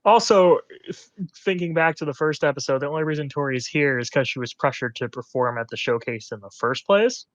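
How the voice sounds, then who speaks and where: A man's voice: fairly high pitch, a little fast, dry recording, lo-fi sound. A man co-hosting a podcast, chatting casually in a wordy, mock-formal way about TV. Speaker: podcast co-host. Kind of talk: casual TV recap podcast chat.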